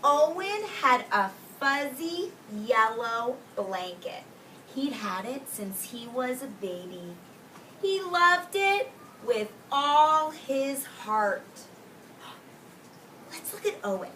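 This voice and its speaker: Preschool teacher, woman, storybook read-aloud: unhurried, bright, expressive, explains simply, picked up from across the room. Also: sits high. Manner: expressive, deliberate, drawn-out emphasis on key words. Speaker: preschool teacher reading a picture book aloud. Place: indoors in a classroom with young children. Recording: roomy distant mic with faint background noise.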